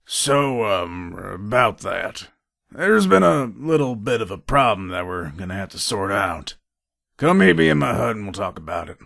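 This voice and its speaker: A shrewd male gun trader and robber from a video game, played by a male actor. His voice sits a little low and moves up and down a lot as he makes his pitch. He is casual and businesslike, a hard bargainer, and the recording is close and dry. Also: sing-song, moderate pace, vocal fry, a bit bright, no echo, full character voice. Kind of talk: video game character dialogue.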